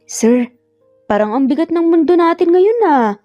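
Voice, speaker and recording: A woman's voice: very high-pitched, animated, normal speed, dark tone, lo-fi sound, faint music behind, voice pushed up. A Filipino woman voicing a cheeky young nanny who teases with playful exclamations in a story. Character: cheeky, teasing young nanny talking back to boss.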